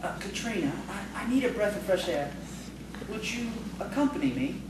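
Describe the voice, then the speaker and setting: A man plays an easily frightened, lovesick schoolteacher in a radio play. He stammers politely and hesitates. The sound is very echoey and far from the mic, with clear noise. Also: sits high, animated, normal speed. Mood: shy, hopeful. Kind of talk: audio theatre acting.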